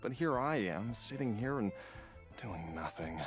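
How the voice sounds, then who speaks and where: A man's voice: on the low side, lively intonation, unhurried, music in the background. A man playing an ailing, regretful father in a video game. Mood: weary, regretful. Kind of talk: video game character acting.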